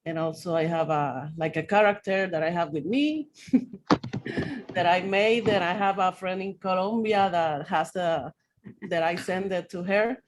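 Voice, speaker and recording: A woman's voice: on the low side, unhurried, a little hiss or hum, rough recording. A Puerto Rican woman who speaks Spanish as her first language, a design teacher, rambling with frequent "like" fillers on a video call. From Puerto Rico.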